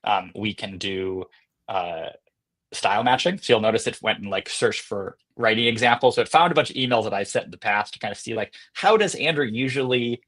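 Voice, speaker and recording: A man speaking as an interview guest, explaining and demonstrating software in a casual, conversational style. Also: lowish voice, lively intonation, fairly quick. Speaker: podcast guest, company co-founder and CEO.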